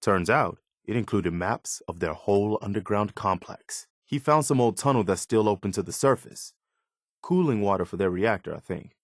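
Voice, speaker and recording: A man voicing an earnest, loyal militia officer in a video game, sincere, measured and plain-spoken. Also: fairly low pitch, expressive, no room sound, studio quality. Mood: determined, briefing. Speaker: voice actor. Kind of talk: video game dialogue acting.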